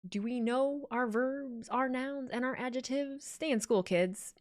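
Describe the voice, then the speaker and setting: A woman's voice: sits high, measured pace, a little mellow. Joking woman streamer reading chat. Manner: laid-back, joking, reads chat aloud. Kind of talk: casual watch-along chat.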